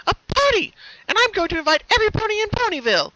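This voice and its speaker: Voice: very high-pitched, very animated, quick pace, background noise, voice pushed up. A performer voicing a giddy, bouncy young female cartoon pony with a sinister streak, gushing in singsong cheer. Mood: ecstatic.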